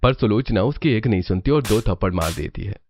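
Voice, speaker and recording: Low-pitched, animated male storyteller. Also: fairly quick, slight background noise. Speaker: story narrator. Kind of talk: expressive scripted storybook narration.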